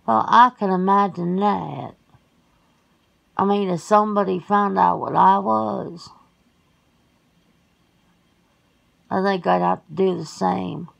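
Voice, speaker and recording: A woman's voice: mid-range voice, steady tone, measured pace, vocal fry, highs cut off. A woman playing a folksy, plain-spoken werewolf sister in an ASMR roleplay, talking casually and colloquially. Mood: agreeable.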